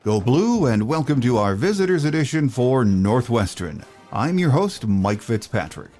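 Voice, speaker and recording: A man hosting a sports podcast, reading a steady, measured script. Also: mid-range voice, soft background music. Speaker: podcast host.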